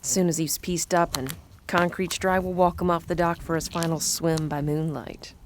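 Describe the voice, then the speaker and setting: A woman's voice: on the low side, steady tone, moderate pace, slight background noise, lightly acted. A woman playing a folksy, matter-of-fact killer who mentors a rookie in an audio drama. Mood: calm, folksy.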